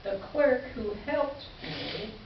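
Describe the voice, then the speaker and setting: Woman reading as a slow, dryly exasperated letter writer. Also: a little high, sing-song. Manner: measured, dry, indignant delivery. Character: exasperated woman writing a sardonic complaint letter. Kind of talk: staged reader's theater letter reading. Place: live stage reading in a room, amplified. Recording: roomy, distant, muffled, slightly noisy live recording.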